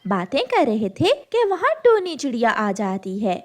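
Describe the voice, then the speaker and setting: A woman's voice: a little high, sing-song, normal speed. A woman narrating a children's cartoon story in a scripted storytelling style.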